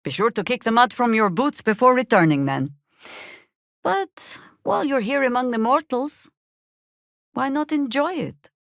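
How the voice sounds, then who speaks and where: An actress playing a seasoned, middle-aged druid warrior in a fantasy video game, wry and commanding, with a dry, sardonic, measured delivery. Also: female voice, a little high, lively intonation, no room sound.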